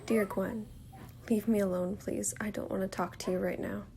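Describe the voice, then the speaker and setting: A teenage girl played by a young woman speaks in a stage drama, wry and tender. Her medium-pitched voice has a creaky edge, as if reading out personal letters. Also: little pitch movement, normal speed, mellow, a little hiss or hum, natural voice. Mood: quiet, sad, tender. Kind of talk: stage monologue as spoken letters.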